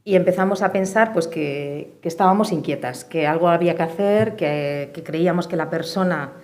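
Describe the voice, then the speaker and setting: Low-voiced middle-aged Spanish woman, noisy background. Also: moderate pace, darkish tone. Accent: Spanish from Spain. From Spain, Madrid and the Basque Country. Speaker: panelist, peace movement activist. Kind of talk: personal spoken testimony at a forum. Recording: clear background noise and room ambience.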